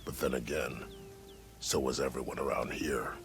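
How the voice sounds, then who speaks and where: A seasoned scout in a video game with an extremely low voice. He is folksy and plain-spoken, reporting what he has seen in a matter-of-fact way. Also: steady tone, moderate pace, vocal fry, faint music behind. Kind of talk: video game character acting.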